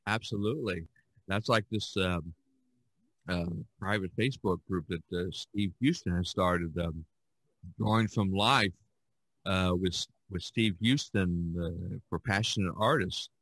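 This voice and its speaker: A man's voice: low voice, measured pace, fairly bright tone, dry recording, rough recording. A man hosting a podcast, chatting casually in a rambling, conversational way. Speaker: podcast host. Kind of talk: casual chat.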